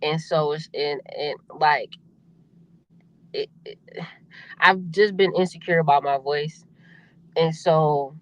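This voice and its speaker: A young adult woman with a deep voice, a singer talking casually as a podcast guest, laughing often and repeating herself as she speaks. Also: dull audio. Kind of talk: casual interview chat.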